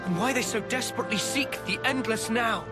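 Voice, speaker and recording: A resolute young hero from a video game, earnest and fervent. His voice sits very high and carries determination over loud, dramatic music. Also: a little fast.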